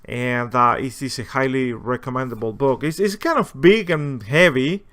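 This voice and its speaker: A man with a heavy Spanish accent, a native Spanish speaker, giving casual, unscripted commentary as a video host in a hesitant, rambling, halting way. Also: medium pitch, a bit bright. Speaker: video host, war-gaming enthusiast.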